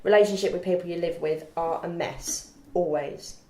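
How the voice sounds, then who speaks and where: Woman acting a brash student flatmate, echoey room. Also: lowish voice, normal speed, lightly acted. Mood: blunt, advising. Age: young adult. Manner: bossy, chummy, blunt. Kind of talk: script table read. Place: indoor room at a group read-through. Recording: echoey room, background noise.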